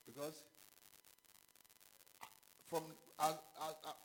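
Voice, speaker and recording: A man preaching a sermon, halting and repetitive, with questions to the congregation. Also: on the high side, fairly even intonation, fairly bright tone, slight background noise. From Ghana. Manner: halting, repeats himself, calls out questions to the congregation. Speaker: pastor.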